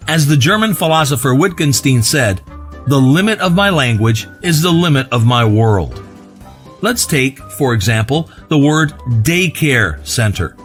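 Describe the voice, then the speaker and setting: A man reading a scripted educational video narration in a measured explainer manner. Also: medium pitch, faint music behind. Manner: even, measured explainer read.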